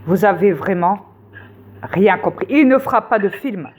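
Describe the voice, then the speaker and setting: A woman's voice: mid-range voice, lively intonation, normal speed, a little mellow, slight background noise. A woman lecturing viewers insistently in a rambling casual monologue, with many fillers. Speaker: conspiracy video host.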